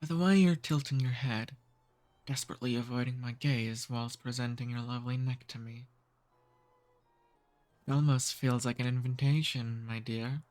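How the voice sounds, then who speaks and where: Casting: male actor for an ancient noble vampire, mid-pitched male voice, charming and softly dominant, intimate ASMR roleplay. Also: steady tone, close to an everyday voice. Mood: seductive, teasing. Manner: courtly, slow seductive teasing.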